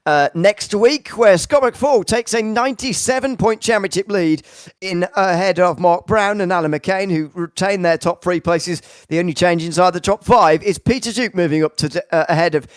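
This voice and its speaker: A man commentating sim racing in a fairly high, smooth voice that carries clearly. The sound is close and dry, with no room echo. He fills his sentences with "uh" and restarts, and he sounds keen about the racing. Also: normal speed. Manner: rambling, filler-heavy, enthusiastic wrap-up. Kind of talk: broadcast commentary and driver interview.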